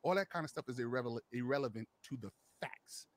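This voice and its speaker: A man's voice: mid-range voice, quick pace, dry recording. A man in his late forties, joking and teasing in casual talk. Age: 40s. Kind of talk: casual banter on a podcast.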